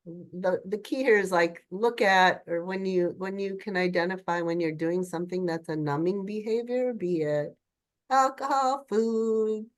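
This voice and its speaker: An older woman with a cold and a nasal voice, sharing a personal story candidly on a group video call, rambling and trailing off mid-thought. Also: mid-range voice. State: has a cold. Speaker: group participant.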